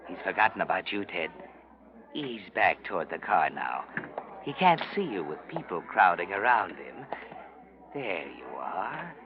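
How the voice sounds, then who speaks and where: An actor playing an ominous narrator who taunts in terse second-person storytelling, in 1940s radio suspense style. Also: male voice, deep voice, background noise, exaggerated voice. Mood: urgent, conspiratorial. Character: ominous male narrator taunting the guilty protagonist. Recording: muffled old radio recording.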